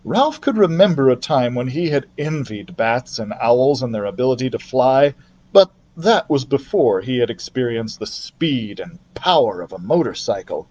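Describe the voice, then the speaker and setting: Lively man reading a children's story aloud. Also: medium pitch, normal speed, dull audio. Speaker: library storyteller. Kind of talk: expressive children's story narration.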